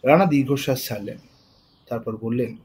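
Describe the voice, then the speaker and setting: A man's voice: mid-range voice, brisk, darkish tone. A man narrating a scripted thriller story in a dramatic storytelling style. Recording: faint noise and ambience behind voice.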